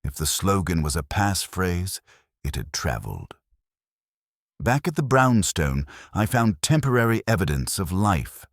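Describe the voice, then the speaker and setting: A man's voice: sits low, sing-song, measured pace, no echo, clean studio sound. A man reading a scripted first-person detective audiobook in a wry storytelling manner.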